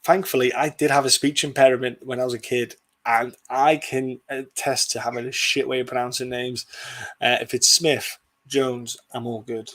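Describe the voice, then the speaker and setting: A man's voice: medium pitch, level tone, normal speed, a bit bright. A man hosting a casual sports prediction podcast, talking bluntly in a rambling way and swearing freely. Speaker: podcast host.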